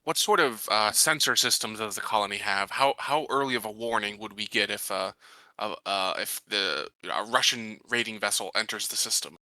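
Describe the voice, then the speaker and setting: A man playing a tabletop game, talking conversationally and somewhat haltingly as he plays. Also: fairly low pitch, bright, forceful tone, no echo, poor audio.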